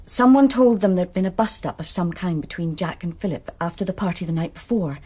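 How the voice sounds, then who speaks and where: Reluctant student, hushed, breathy, confiding, radio drama. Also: female voice, lowish voice, fairly quick. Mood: worried. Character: troubled, reluctant young woman student.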